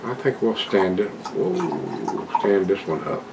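A man's voice: lowish voice, animated, slow pace, background noise. An older man at home casually reviewing a beer, chatting to his viewers in a folksy way. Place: home room with a desk and plants, indoors.

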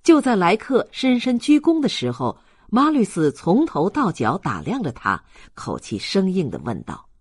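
A man reading a novel aloud as an audiobook narrator, in an expressive literary storytelling style. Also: high voice, normal speed, hardly any pauses, slightly rough, dry recording, studio quality.

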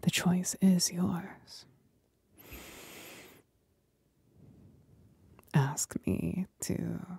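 Seductive demon for ASMR roleplay, played by a woman: husky breathy whisper, creaky low edge, manipulative and mocking. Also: dark tone, no echo, exaggerated voice. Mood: coaxing, menacing. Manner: slow, breathy whispering with deliberate pauses.

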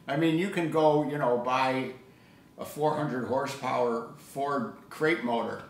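An older man in casual hobby discussion as co-host, speaking in a halting, anecdotal way. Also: medium pitch. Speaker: co-host of an audio hobby show. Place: living room table indoors. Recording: echoey room sound.

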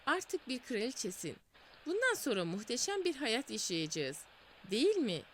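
A hesitant, put-upon fisherman from a storybook tale, as a woman narrator puts him on. He asks for things nervously and politely, his lively voice lifting high as he pleads. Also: moderate pace, slight background noise. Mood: pleading. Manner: timid, pleading. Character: meek, hesitant old male fisherman.

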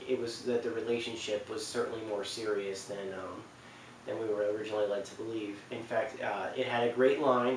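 Video presenter: man, twenties, casual halting commentary, roomy distant recording with faint noise. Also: mid-range voice. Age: 20s. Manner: halting, rambling. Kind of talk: casual unscripted countdown commentary. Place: living room couch indoors. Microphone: camera mic at a distance.

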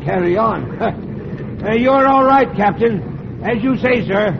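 An actor playing a mocking, loudmouthed old seaman in a 1940s radio drama, with a jeering, windy delivery. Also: high voice, slow, creaky, exaggerated voice. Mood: mocking glee. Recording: dull vintage radio recording, noisy engine din.

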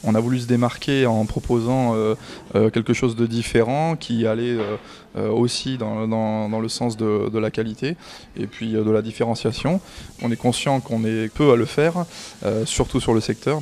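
A man's voice: medium pitch, fairly even intonation, moderate pace, darkish tone, slight background noise. A man in his thirties, a restaurant manager, answering questions on camera into a handheld mic in a small restaurant, hesitant with many fillers and restarts. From France. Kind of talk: on-camera interview. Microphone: handheld reporter mic.

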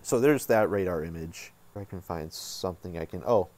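A man hosting a casual software tutorial, talking informally and thinking aloud as he works. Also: low-pitched, moderate pace. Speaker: tutorial host.

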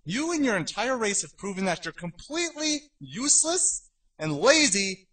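A man voicing a snarky, excitable young male adventure hero in a parody cartoon, sarcastic and ranting theatrically. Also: sits high, moderate pace, husky, no room sound, heavily acted. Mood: furious. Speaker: amateur voice actor in a parody series. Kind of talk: comedic abridged-series cartoon dubbing.

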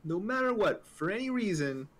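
A man with a fairly high, husky voice banters casually. He is jokey and mock-emphatic, and his pitch moves around a lot. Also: big pitch swings, normal speed, fairly bright tone.

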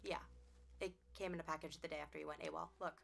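An American actress playing an edgy, anxious young woman investigator in a video game scene, hushed and uneasy. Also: low-pitched, fairly even intonation, fast talker, gravelly, slight background noise, exaggerated voice. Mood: hushed, intent. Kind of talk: naturalistic video game cutscene acting.

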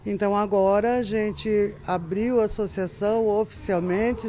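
Woman, middle-aged, very high voice, chatty and playful, indoor craft fair, handheld mic. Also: a little hiss or hum. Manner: chatty, playful, animated when quoting herself. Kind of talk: TV news interview. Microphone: handheld reporter mic.

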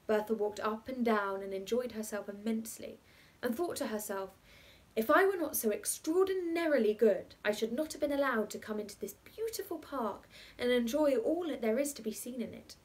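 A woman voicing an unconcerned bachelor who invents a children's story in a matter-of-fact, deliberate way. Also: on the high side, animated, natural voice. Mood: storytelling.

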